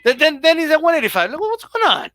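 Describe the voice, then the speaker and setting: A man's voice: very high-pitched, very animated, fast, sharp tone, no room sound. Young male stream host, dry close sound, loose profane banter with chat. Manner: profane, chatty, banters with viewers. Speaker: boxing live-stream host. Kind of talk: casual live commentary to chat.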